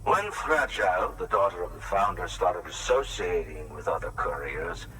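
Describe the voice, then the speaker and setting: A man's voice: sits low, distant mic, noisy background. An actor playing a guarded, reclusive man in a video game, musing in conversational, emphatic speech. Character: guarded, reclusive male shelter dweller, grateful but distrustful.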